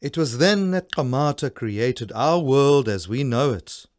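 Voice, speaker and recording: A man's voice: medium pitch, animated, unhurried. A man with a South African accent giving theatrical scripted narration of a children's folktale. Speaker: storyteller, narrator. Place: recording studio.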